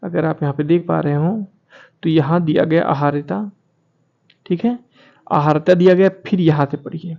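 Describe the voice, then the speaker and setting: A man with a fairly high-pitched voice presents an informal explainer for his online viewers. He reads formal text aloud, then comments on it directly, repeating key phrases to drive them home. The sound is somewhat dull and lo-fi. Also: steady tone, normal speed, creaky, long pauses. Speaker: YouTube channel host presenting documents.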